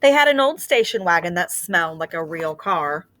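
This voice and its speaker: A woman reading a children's picture book aloud like a teacher, with expressive storytelling and deliberate, drawn-out phrasing. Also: on the low side.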